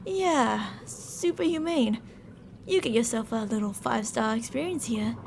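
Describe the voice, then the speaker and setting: Woman acting a creaky-voiced, sweetly menacing female scientist. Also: fairly high pitch, unhurried, darkish tone, a little hiss or hum. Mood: smug, coaxing. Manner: sugary politeness turning stern and commanding. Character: controlling female mad scientist, sweet-talking, fretful captor. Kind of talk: ASMR roleplay audio drama.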